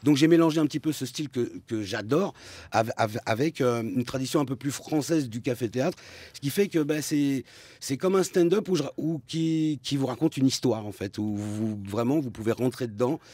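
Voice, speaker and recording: A man's voice: mid-range voice, normal speed, slightly rough, dry recording. A man with a Parisian accent, a comedian guest chatting casually and jokingly in a radio interview. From France. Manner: chatty, lively, joking, frequent restarts.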